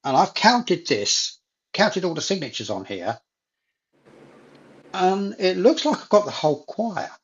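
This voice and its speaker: A man's voice: high voice, very animated, a little slow, long pauses, lo-fi sound. A man in a casual chat, talking enthusiastically and repeating phrases for emphasis.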